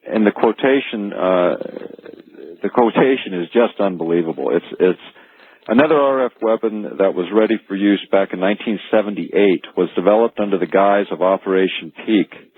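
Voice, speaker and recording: A North American man with a husky voice, explaining in earnest, careful detail as a guest on a radio interview. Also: deep voice. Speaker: guest author and researcher. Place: remote call from Alaska into radio show. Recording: phone-like, echoey archived radio call.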